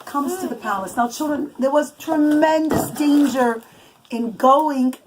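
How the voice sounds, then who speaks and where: Mom doing a bedtime story for kids, highish voice, dramatic n emphatic, bit noisy home recording w clicks. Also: female voice, measured pace. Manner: dramatic, emphatic, with asides to children. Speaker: mother telling a story to her children. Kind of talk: improvised animated bedtime storytelling. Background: young children chattering.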